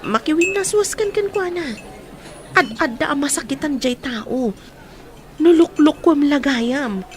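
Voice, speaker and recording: A woman's voice: sits high, expressive, measured pace, long pauses. A woman playing a frank, caring young friend in an audio drama, speaking earnestly with a Filipino accent. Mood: concerned, advising. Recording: faint background noise.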